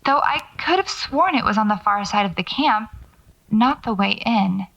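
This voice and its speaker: A woman's voice: medium pitch, expressive, normal speed, vocal fry, a bit bright, lo-fi sound. A woman acting as a wry, stubborn paranormal investigator in an audio drama, talking to herself as she records field notes. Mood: wary, focused. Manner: muttering to herself, sardonic, self-coaching.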